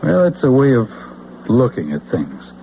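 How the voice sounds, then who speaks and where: An actor with an American accent playing an intense, self-assured political zealot in an old-time radio drama, speaking grandly and persuasively with deliberate pauses. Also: male voice, mid-range voice, big pitch swings, vocal fry, slight background noise. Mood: persuasive, fervent. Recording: muffled old radio broadcast with music.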